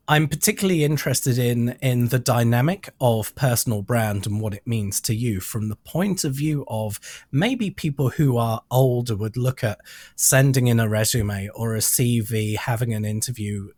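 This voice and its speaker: British man interviewing, husky and dry. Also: medium pitch, moderate pace, a little mellow, no echo. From United Kingdom. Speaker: podcast host, interviewer. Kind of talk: conversational interview questions.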